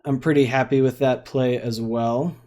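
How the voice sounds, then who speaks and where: A man's voice: medium pitch, steady tone, moderate pace, mellow. A young man giving casual, rambling commentary on a card game he is streaming. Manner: laid-back, rambling, lots of fillers.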